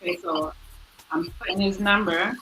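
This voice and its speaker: Chatty woman hosting over soft music. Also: medium pitch, fairly even intonation, moderate pace, poor audio. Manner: chatty, encouraging, laughs easily. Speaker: radio talk show host. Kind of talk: casual interview chat. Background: music playing behind.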